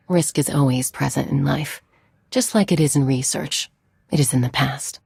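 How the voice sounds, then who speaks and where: Casting: learned, reserved widow for a game, deep husky female voice, precise delivery, dry close recording. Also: a little slow, darkish tone, no room sound, full character voice. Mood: composed, reserved. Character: composed widowed woman scholar, reserved and learned. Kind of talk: video game cutscene dialogue.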